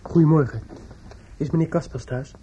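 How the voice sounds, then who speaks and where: An actor playing a desperate, distraught young man in a radio play, muttering in a halting, repetitive, obsessive way. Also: a little high, warm tone, slightly rough, highs cut off. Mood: numb, distracted.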